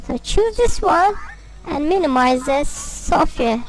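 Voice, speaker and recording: A man's voice: very high voice, expressive, slow pace, recorded from a distance, faint music behind, voice pushed up, heavily acted, poor audio. A man talking through a voice changer app's girl filter, so his voice comes out as a synthetic young woman's. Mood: casual demo. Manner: plain demo talk, casual.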